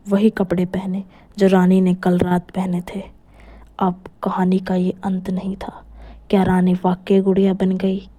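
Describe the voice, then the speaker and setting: Female storyteller for scripted horror tales, dark, soft-edged tone. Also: mid-range voice. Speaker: narrator.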